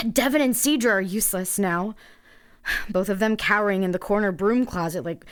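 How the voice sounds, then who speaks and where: A woman's voice: mid-range voice, measured pace, clean tone, lightly acted. A woman playing a captive young female spy in an audio drama monologue, bitter, wry and foul-mouthed, sighing between phrases. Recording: music behind the voice.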